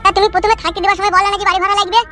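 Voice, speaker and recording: Man acting an aggrieved, hurried village tenant. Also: extremely high pitch, little pitch movement, music in the background. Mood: indignant. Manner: complaining, indignant. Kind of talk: cartoon comedy dialogue.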